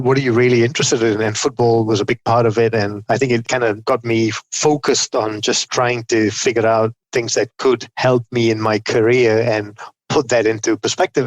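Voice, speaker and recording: A man's voice: medium pitch, no echo, poor audio. A middle-aged man from Malaysia, a podcast guest telling his life story in a chatty, self-deprecating way. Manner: chatty, self-deprecating, quotes himself often. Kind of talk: conversational storytelling.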